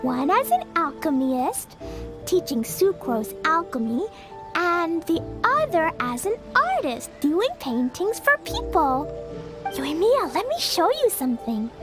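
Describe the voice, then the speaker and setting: A very high, bubbly little girl in a fantasy game, chattering innocently and unhurried. Soft game music runs underneath. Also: sing-song, exaggerated voice. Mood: chatty. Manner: bubbly, childlike, says "super duper" and "Mister". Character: small excitable girl, cheerful and innocent. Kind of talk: game voice acting.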